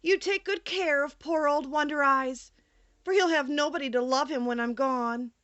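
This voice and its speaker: A woman voicing a gentle, merry, fearless little girl in a storybook, curious and playful. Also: high voice, normal speed, lo-fi sound. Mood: tender.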